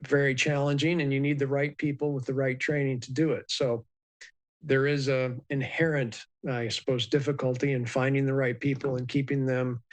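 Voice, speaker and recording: A man giving a measured, explanatory expert commentary in a live news interview, with many ums and uhs. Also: mid-range voice. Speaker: aviation expert guest.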